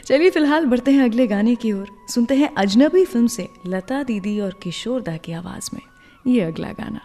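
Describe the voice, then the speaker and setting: A woman's voice: on the high side, expressive, moderate pace, dark tone, in a large hall. A woman hosting a radio show, telling scripted stories conversationally and putting questions to listeners. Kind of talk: scripted radio storytelling between songs. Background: music.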